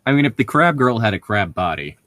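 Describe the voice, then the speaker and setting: A man's voice: fairly low pitch, very animated, a little fast, fairly bright tone, no echo, studio quality. A man in casual conversation as a podcast co-host, swearing freely and joking crudely. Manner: crude joking, profane, laughs often. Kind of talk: casual chat.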